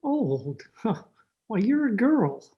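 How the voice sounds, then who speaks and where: An actress playing a blunt, amused family member in a radio play. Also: female voice, medium pitch, very animated, slow pace. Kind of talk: old-time radio drama acting. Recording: muffled home video-call audio.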